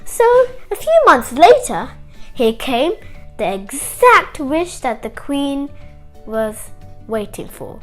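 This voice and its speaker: A woman with a high, lively voice tells a story for children over music. She takes it slowly, with big swings of intonation, and chats directly to her young listeners. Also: rough recording. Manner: animated, chatty, asks the listener questions. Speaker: children's story show host.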